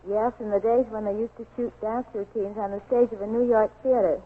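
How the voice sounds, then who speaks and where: A woman's voice: on the high side, steady tone, fairly quick, husky. A young woman as an interview guest giving a scripted testimonial on 1940s radio. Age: young adult. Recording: tinny, lo-fi 1940s radio recording.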